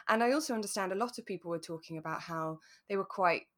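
A woman with a slightly low-pitched voice talks as a podcast co-host. She chats in a relaxed, conversational style, thinking things over with a sympathetic manner. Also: fast. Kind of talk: relaxed chat discussing a radio drama.

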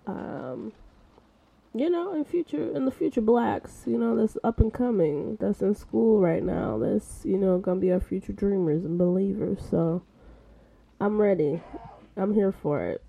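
A woman co-hosting a casual podcast chat, congested and stuffy, playful and quick to laugh. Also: medium pitch, creaky, slightly rough, muffled recording. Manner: laughing, playful, animated asides. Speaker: podcast co-host.

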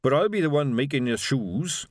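A man's voice: medium pitch, expressive, moderate pace, no room sound, clean studio sound, voice pushed up, natural voice. A man voicing a literal-minded working-class shoemaker in an audiobook, plain-spoken, doubtful and rambling. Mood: puzzled, literal.

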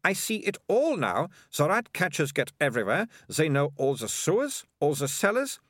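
A man reading aloud and voicing a bossy, excitable young girl who talks in a brisk, self-assured way. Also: a little high, no echo, clean studio sound, pitched above the performer's own voice.

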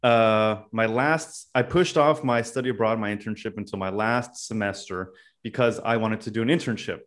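A young man from California, a podcast guest telling his story in a loose, casual way with many pauses and "uh"s. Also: lowish voice. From California, USA. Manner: loose, thinks aloud with many "uh"s.

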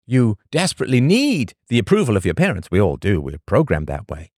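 A man hosting a podcast, reading a scripted monologue full of rhetorical questions and emphatic pauses. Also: fairly low pitch, sing-song, a little mellow, dry recording, clean studio sound. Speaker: podcast host.

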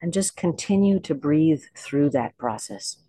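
A meditation teacher leading a guided body scan in slow, unhurried phrases with pauses. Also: mid-range voice, lively intonation, warm tone, slightly rough, dry recording.

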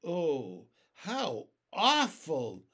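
Man playing an earnest, high-voiced young boy. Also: animated, a little slow. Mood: kindly wonder. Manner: earnest, wondering. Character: polite, curious, kindly young boy.

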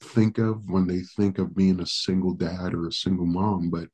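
A middle-aged pastor from the Dominican Republic, a man whose first language is Spanish, talks in a low, husky voice. He answers earnestly, stopping often to gather his thoughts. His speech has an unhurried interview-guest flow. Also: little pitch movement, dark tone. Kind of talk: interview guest, reflective storytelling.

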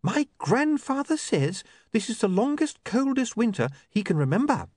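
A prim, proper young woman with a lilting, very animated voice that leaps high and low, as a male reader puts her on. She speaks precisely, in a dry, close storybook reading. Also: normal speed, dry recording, full character voice.

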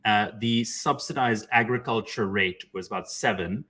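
Male panelist from Pakistan, low and raspy, discursive conversational delivery. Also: normal speed, pushed and bright. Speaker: panel speaker.

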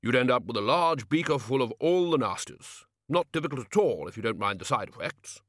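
A man's voice: medium pitch, expressive, brisk, dry recording, studio quality, voice pushed up, lightly acted. A man voicing a fussy, pedantic male wizard scholar who speaks precisely as if lecturing, in a storybook reading.

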